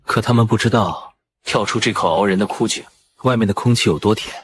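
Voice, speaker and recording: A world-weary young prince played by a man speaks in a fairly low voice. He is sardonic and wry, delivering unhurried asides as in an animated fantasy drama. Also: slight background noise, natural voice. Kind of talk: Chinese animated fantasy drama acting with inner monologue.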